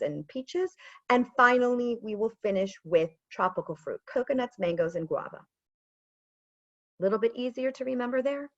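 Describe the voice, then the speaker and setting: A woman in her thirties with an American accent, coaching a webinar over a video call, chatty and animated with self-deprecating asides. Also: mid-range voice, dry recording. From Israel. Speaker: pitch coach. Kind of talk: webinar presentation. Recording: dull lo-fi video call audio.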